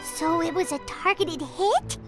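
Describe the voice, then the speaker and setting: A woman's voice: very high-pitched, unhurried. A woman voicing a tiny, curious fairy companion in a video game, speaking in an eager, inquisitive way. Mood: chirpy curious. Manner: eager, inquisitive, bouncy delivery. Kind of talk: game voice acting. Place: recording studio. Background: game music.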